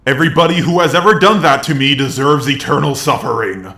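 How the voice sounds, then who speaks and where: A man's voice: mid-range voice, brisk, creaky, bright, forceful tone. A man giving informal review commentary with wry, joking asides. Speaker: video reviewer. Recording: roomy, echoey sound.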